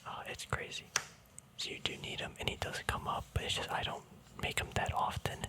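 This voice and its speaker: A man speaking in a breathy, unvoiced whisper, rambling casually in ASMR style as if thinking aloud. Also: a little fast, pushed and bright. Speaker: ASMR video creator. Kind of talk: ASMR, casual rambling commentary on a card deck.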